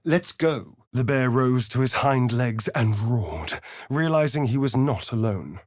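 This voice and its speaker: A man's voice: lively intonation, unhurried, darkish tone, slight background noise, lightly acted. A man playing a sly, sardonic vampire spawn rogue in a video game, with an arch, drawling, theatrical delivery. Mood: dramatic storytelling. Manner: arch, theatrical, drawling, with big swoops in pitch. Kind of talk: video game voice lines and narration.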